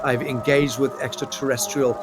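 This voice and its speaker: A man's voice: mid-range voice, little pitch movement, normal speed, over loud music. Rambling man musing on ascension. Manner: rambling, heavy with ums and repeated words. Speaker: guest. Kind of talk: long-form spiritual monologue. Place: his home.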